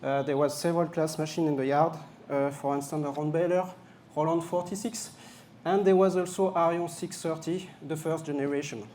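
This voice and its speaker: A man's voice: a little high, fairly even intonation, fairly quick, darkish tone, a little hiss or hum. A man from France with a French accent, presenting a product at a live launch event with some jokes and hesitant fillers. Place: event venue.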